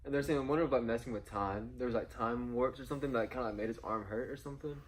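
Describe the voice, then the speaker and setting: Fast-talking man in excited casual chat, noisy background. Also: medium pitch. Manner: informal, acts out quoted lines. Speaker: co-host of a movie review. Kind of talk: casual excited fan chat about a film.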